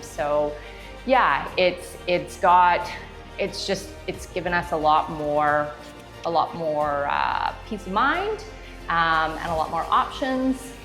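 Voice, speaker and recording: A woman's voice: on the low side, a bit bright. A woman giving a customer testimonial in a casual, explanatory, conversational interview style. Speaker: customer giving a testimonial, strata council member. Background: background music.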